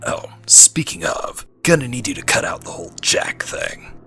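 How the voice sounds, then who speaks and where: A man playing a sarcastic, gruff special forces soldier in a comedic parody, with a deadpan, breathy growl. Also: medium pitch, moderate pace, whispered, soft background music, full character voice. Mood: sardonic. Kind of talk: comedic voice acting in a parody dub.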